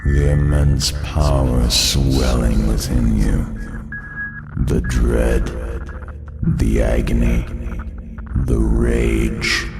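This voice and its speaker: A man playing an ancient, menacing entity that possesses its victim, gloating in an ominous horror audio drama monologue with whispery drawn-out words. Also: rumbling low, little pitch movement, gravelly, over loud music, heavily acted. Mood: cold gloating.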